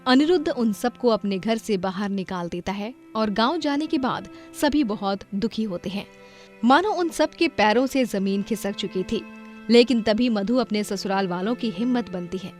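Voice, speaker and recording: A woman narrating a cartoon moral story in an expressive storybook style. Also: mid-range voice, moderate pace. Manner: expressive, emotional. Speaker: narrator and heroine Madhu. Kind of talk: storybook narration and acted dialogue. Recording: background music under voice.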